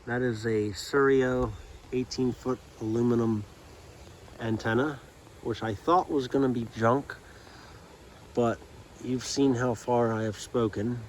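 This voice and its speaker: An American man giving a casual, unscripted video tour as a hobbyist, in a halting, plainspoken way with pauses mid-sentence. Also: medium pitch, fairly even intonation, slight background noise, low-quality recording. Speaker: amateur radio hobbyist giving a setup tour.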